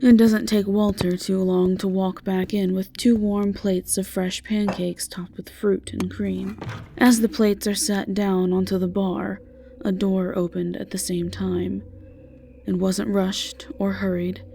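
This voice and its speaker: Creaky-voiced woman narrating a fantasy story. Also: medium pitch, flat delivery, measured pace, mellow, slight background noise. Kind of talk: scripted fantasy audio roleplay narration.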